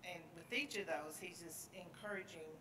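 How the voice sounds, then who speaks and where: Woman talking slow in a bible class, lowish voice, echoey room. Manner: slow, hesitant with "um". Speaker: class member. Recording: echoey room, distant mic, noticeable noise.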